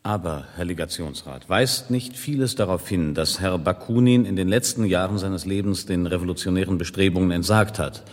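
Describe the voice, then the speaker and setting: A man's voice: sits low, normal speed, hardly any pauses. An actor playing a polite, persistent reporter in a German radio play, formal and courteous as he probes. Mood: probing.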